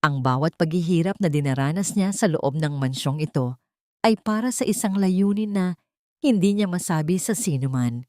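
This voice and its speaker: A woman's voice: lowish voice, mellow, no echo, studio quality. A woman reading a scripted dramatic story as an audiobook narrator.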